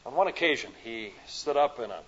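A man from the American Midwest with a Midwestern accent, preaching a sermon at a conference, rising to loud emphatic peaks and dropping to soft, slow phrases. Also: mid-range voice, animated, slightly rough. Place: conference hall. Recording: dull lo-fi recording.

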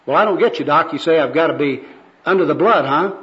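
A man's voice: fairly high pitch, fast, natural voice. A man reading a skit, voicing a wisecracking, skeptical down-and-out bum with slangy, sarcastic talk. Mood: wry, puzzled. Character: bleary, dejected skid-row bum, wary and wisecracking. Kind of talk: amateur skit read aloud. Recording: dull, lo-fi recording.

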